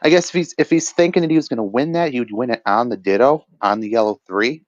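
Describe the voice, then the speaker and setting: A man giving casual, reflective analysis as a match co-commentator, often pausing mid-thought over a voice chat. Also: mid-range voice, dry recording, lo-fi sound. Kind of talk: casual esports-style card game commentary.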